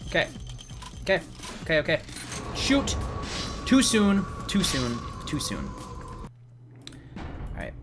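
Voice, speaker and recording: A slightly hoarse man giving casual, rambling gameplay commentary as a let's play host, sounding wry and exasperated. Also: a little high, wildly swooping pitch, slow pace, long pauses. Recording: game music behind the voice. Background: video game music.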